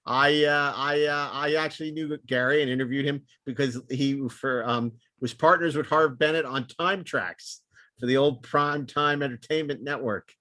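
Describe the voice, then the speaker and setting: A man's voice: a little high, steady tone, normal speed, bright, forceful tone, no echo. A man hosting a film discussion podcast, talking through and reading out notes. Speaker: podcast host.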